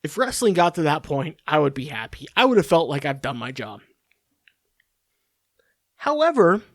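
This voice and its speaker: A man's voice: medium pitch, no echo, studio quality. A man hosting a podcast, ranting in a profane, rambling, sarcastic monologue. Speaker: podcast host.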